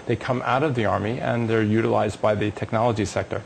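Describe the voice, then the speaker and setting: A man's voice: on the low side, fairly even intonation, fast talker, gravelly, slight background noise, poor audio. A man being interviewed as a company executive on a live business TV show, explaining technology with emphatic enthusiasm.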